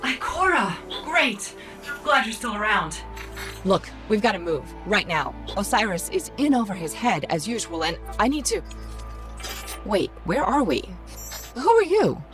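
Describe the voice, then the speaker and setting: Sassy, brash robot companion. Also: female voice, medium pitch, sing-song, a little slow, fairly bright tone. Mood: excited, indignant, then urgent, flustered. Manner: sassy, emphatic, conversational. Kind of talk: video game cutscene voice acting. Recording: music under the voice.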